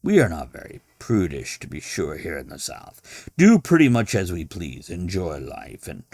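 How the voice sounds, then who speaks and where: A man voicing a genial, pompous older gentleman who runs a madhouse, in a dramatic storybook reading with theatrical, self-assured delivery. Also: low-pitched, big pitch swings, moderate pace, dry recording, exaggerated voice. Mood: breezy, dismissive.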